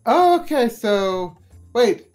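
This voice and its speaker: Lively, goofy male podcast co-host. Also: very high voice, very slow, faint music behind. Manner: goofy, lively, playful jokes. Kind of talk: casual podcast chat.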